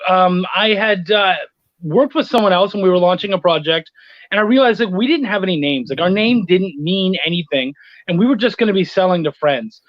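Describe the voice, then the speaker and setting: A man's voice: sits high, a little fast, no echo. A middle-aged man chatting casually as an interview guest, telling anecdotes and acting out bits of dialogue, on lo-fi livestream audio. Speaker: guest, freelance editor and writer.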